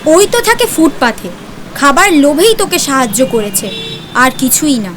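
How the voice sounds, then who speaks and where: Snobbish, high-voiced schoolgirl played by a woman. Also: very animated, normal speed, background noise. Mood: scornful. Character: scornful, snobbish schoolgirl friend. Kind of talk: audio story acting.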